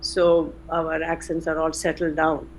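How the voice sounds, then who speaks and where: Older woman, gravelly, unhurried, pauses and self-corrections. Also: sits low, level tone, a little hiss or hum, poor audio. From India. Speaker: guest, retired chemistry professor. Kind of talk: reflective interview answers.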